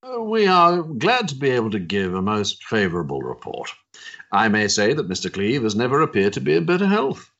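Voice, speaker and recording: An actor playing a genial, gossiping older Victorian society doctor, with fussy, hesitant, pompous politeness in a period stage drama. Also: male voice, medium pitch, huge pitch swings, a little fast, a bit bright, dull audio, full character voice. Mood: courteous, confiding.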